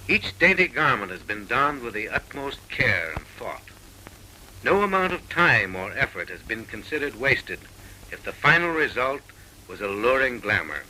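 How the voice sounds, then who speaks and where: A man's voice: medium pitch, sharp tone, slight background noise, low-quality recording. A man narrating a 1930s comic film with mock-serious, arch, theatrical delivery on an old film soundtrack.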